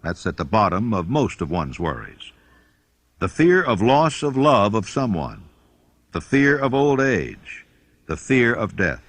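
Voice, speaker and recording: A man reading a self-help audiobook in a measured, emphatic, formal way. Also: mid-range voice, fairly bright tone, no echo. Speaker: audiobook reader. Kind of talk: scripted audiobook narration of a self-help book.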